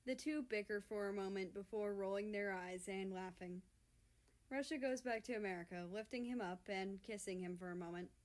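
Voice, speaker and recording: A woman's voice: medium pitch, moderate pace, a little mellow. A woman reading a fan-written story aloud as its narrator.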